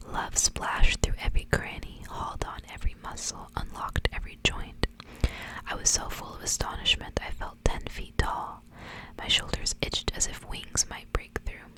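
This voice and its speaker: A woman reading a literary story aloud in a breathy, hushed whisper, in the gentle narrating style of an ASMR reading. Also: moderate pace, poor audio.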